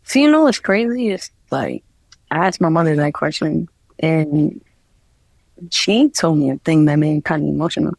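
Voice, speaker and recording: A woman's voice: sits low, lively intonation, moderate pace, gravelly, darkish tone, no echo, rough recording. Rambling young woman from New Jersey. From New Jersey, USA. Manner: rambling, lots of "like" fillers. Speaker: guest artist. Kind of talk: casual interview talk.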